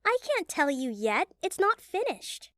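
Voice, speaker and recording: A woman's voice: very high voice, very animated, measured pace, fairly bright tone, no echo, clean studio sound, full character voice. A woman playing a candid, imaginative young child in an audio drama, with an earnest, innocent manner. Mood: earnest, curious.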